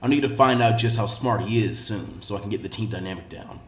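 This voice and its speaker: A man voicing a calm, authoritative adult male ninja teacher with a measured delivery in a story reading. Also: on the low side, reverberant, pitched below the performer's own voice, close to an everyday voice. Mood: appraising.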